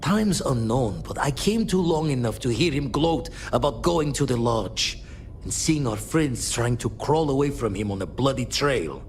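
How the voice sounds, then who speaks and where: An actor playing a wounded, stoic space adventurer in a video game, weary, with clipped and florid wry phrasing. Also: male voice, mid-range voice, expressive, normal speed, darkish tone, a little hiss or hum, close to an everyday voice.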